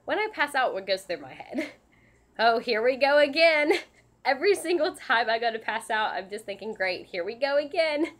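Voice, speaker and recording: A woman with a stuffed-up nose and a scratchy throat from a sinus infection, hosting a casual live question-and-answer stream, speaking in a halting, earnest way with frequent restarts and ums. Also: fairly high pitch, lively intonation, fairly bright tone. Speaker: service dog handler and channel host.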